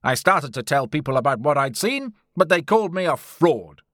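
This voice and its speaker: Storybook role: defiant, bitter explorer-scientist acted by a male narrator, raised pitch, emphatic and declamatory. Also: very animated, moderate pace, fairly bright tone, dry recording, clean studio sound. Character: loud, bitter, hot-tempered adventurer-scientist, scornful and defiant.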